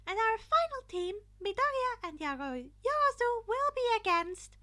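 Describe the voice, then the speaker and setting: Storybook role: tiny excitable male animal headmaster, played by a woman, very high, loud and cheerful. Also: normal speed, heavily acted. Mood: cheerful, excited. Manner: loud, squeaky, cheerful announcing. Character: excitable small male animal school principal.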